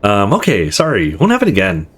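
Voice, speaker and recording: A man's voice: on the low side, wildly swooping pitch, a little fast, slightly rough, exaggerated voice. A man playing an absent-minded, easygoing young male student in a visual novel, with a casual manner. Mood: sheepish.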